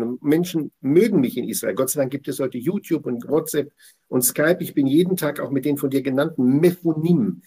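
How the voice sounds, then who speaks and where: A man's voice: medium pitch, warm tone, slightly rough. A man talking as a guest on a weekly Torah portion show, emphatic and digressive, often repeating his phrases. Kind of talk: effusive conversational talk.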